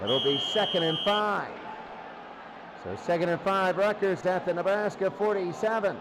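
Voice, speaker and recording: A man's voice: sits high, normal speed. A man doing play-by-play football commentary in a radio style, repeating phrases for emphasis. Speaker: play-by-play sports announcer. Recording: clearly noisy sound.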